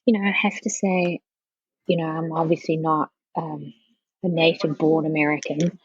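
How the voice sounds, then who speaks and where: A woman from South Africa speaking as an interview guest in a casual, halting way, often circling back with "you know". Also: deep voice, flat delivery, dull audio, a little hiss or hum. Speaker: podcast guest, architecture professional.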